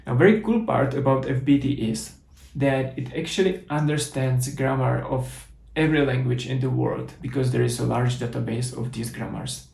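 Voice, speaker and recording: A man's voice: mid-range voice, fairly even intonation, moderate pace, gravelly, hardly any pauses, darkish tone, reverberant. A man with a Czech accent giving a methodical conference talk, walking through code in a live demo. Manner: explanatory, methodical, with restarts. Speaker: conference speaker.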